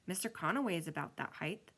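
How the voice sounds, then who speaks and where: Tech-savvy schoolgirl for a children's audiobook, played by a woman: eager, casual, faintly unsure, creaky. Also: fairly low pitch, animated, fast, a bit bright, heavily acted.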